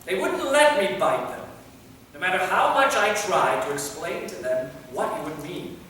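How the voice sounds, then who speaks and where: A man's voice: sits high, animated, normal speed, pushed and bright. A man playing an anguished, weary and bitter immortal vampire in a staged reading. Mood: grieving, bitter. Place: theater, recorded from the audience distance. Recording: echoey hall from far off, faint noise.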